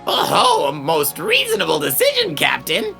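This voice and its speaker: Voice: mid-range voice, huge pitch swings, moderate pace, creaky, sharp tone, exaggerated voice. A performer voicing a clumsy, cheerful professor in a video game, with jovial, old-fashioned genteel phrasing over music. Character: clumsy, cheerful, courteous scholar professor, adult man. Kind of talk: voiced video game dialogue.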